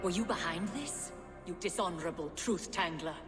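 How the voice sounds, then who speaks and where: A woman's voice: fairly low pitch, moderate pace, close to an everyday voice. A woman playing a proud, wary Norse goddess, challenging and formal, in a video game cutscene. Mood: accusing. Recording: long hall reverb, faint music.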